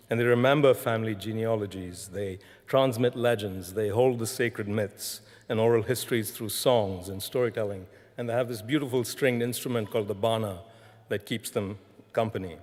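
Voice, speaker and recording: A man giving a graduation speech in a measured storytelling manner, recorded in a large hall. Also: fairly low pitch, a little mellow. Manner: measured, storytelling delivery with deliberate repetition. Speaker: graduation ceremony speaker. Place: large concert hall, live ceremony. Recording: echoing large concert hall.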